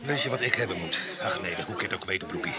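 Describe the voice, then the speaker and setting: Gruff, bitter grape picker, crowd behind. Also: male voice, low voice, lively intonation, rapid-fire. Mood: bitter, angry. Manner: gruff, cursing, sardonic. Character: rough bitter grape picker with a violent past. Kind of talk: Dutch radio drama acting. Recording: busy crowd noise behind.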